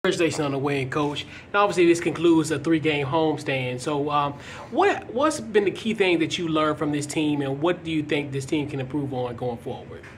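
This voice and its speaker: A man's voice: on the high side, expressive, brisk, slight background noise. A man interviewing after a match, asking long rambling questions with many fillers. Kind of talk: post-game press questions.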